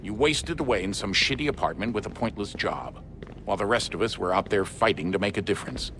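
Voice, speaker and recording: A man's voice: fairly low pitch, animated, quick pace, a bit bright, slight background noise. An actor playing a middle-aged father in a video game, stern and demanding, talking curtly to his son. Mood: cold scolding. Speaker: father of the lead character. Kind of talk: video game cutscene dialogue. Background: music.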